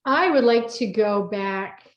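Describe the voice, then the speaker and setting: A woman's voice: mid-range voice, lively intonation, takes it slowly. A woman chatting casually and playfully as she hosts a personal chat and asks questions, heard in a roomy, slightly dull recording. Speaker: co-author hosting a personal chat.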